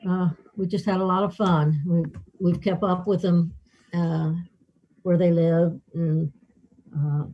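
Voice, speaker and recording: A man's voice: high-pitched, flat delivery, takes it slowly. A man reminiscing casually as a club member on a video call. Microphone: video call microphone. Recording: dull, distant lo-fi video call audio.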